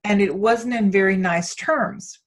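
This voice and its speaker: A woman from Denmark hosts a conversational podcast interview at a middling pitch. She thinks out loud, circling and rewording as she hunts for the right phrase. Her delivery is hesitant and rambling. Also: a little slow. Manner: hesitant, rambling, searching for words, thinking aloud.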